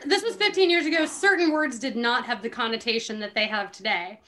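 A woman's voice: fairly high pitch, a little fast, bright, forceful tone, slight background noise. A woman host giving a comedic dramatic reading with giggly, sarcastic asides. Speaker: podcast host reading a book aloud.

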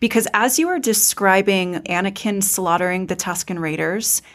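A woman co-hosting a podcast, talking casually and analytically as a fan in an articulate, deliberate way. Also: medium pitch. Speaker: podcast co-host.

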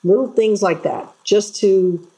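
Husky, mellow-voiced woman guest. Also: mid-range voice, a little slow, low-quality recording. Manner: earnest, animated storytelling with acted-out voices. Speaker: interview guest. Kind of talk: casual interview chat.

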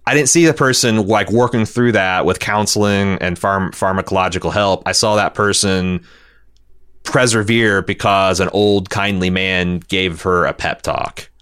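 Deep-voiced man, halting podcast talk. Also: fairly bright tone, clear, resonant tone. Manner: hesitant, halting, often repeating words. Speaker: podcast co-host. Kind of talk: casual critical discussion of a TV show.

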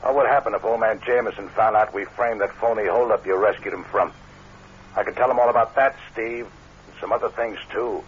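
Man playing a low-voiced, menacing blackmailer on old radio. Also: steady tone, a little fast, natural voice. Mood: threatening. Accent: American. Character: cool, menacing small-time blackmailer, slyly insistent. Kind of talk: 1940s radio crime drama acting. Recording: muffled, noisy old radio recording.

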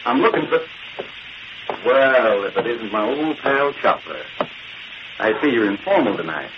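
A man's voice: mid-range voice, animated, unhurried, lightly acted. An actor playing a brash, mocking newspaperman in 1940s radio style, full of breezy sarcastic banter. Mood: wisecracking. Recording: muffled, faintly noisy old radio recording.

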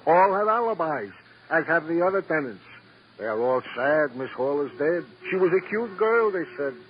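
A man's voice: fairly high pitch, sing-song, normal speed, breathy edge. A man playing a fussy, devoted police assistant with ornate, precise speech in a classic radio drama. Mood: fussy, attentive. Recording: dull, noisy old radio recording.

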